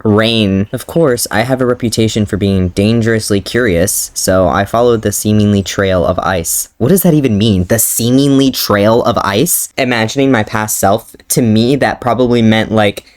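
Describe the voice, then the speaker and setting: Man, low voice, reads his old fanfic aloud and mocks it with amused asides. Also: normal speed, few pauses, dry recording. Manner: amused, self-mocking, often laughing. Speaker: fanfiction reader and commentator. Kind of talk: dramatic reading with comic commentary.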